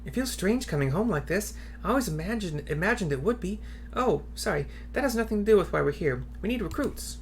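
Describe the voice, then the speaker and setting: A man's voice: sits high, expressive, a little fast, a little hiss or hum, voice pushed up. A man voicing an earnest, eager young woman resistance fighter in a fantasy game. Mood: wistful, apologetic.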